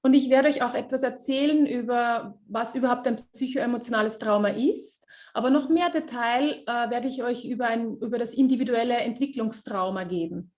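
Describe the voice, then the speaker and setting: Woman interpreting on a video call. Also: on the high side. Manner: quick, lively, many fillers and self-corrections. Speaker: interpreter and webinar host. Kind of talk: consecutive interpreting in a webinar.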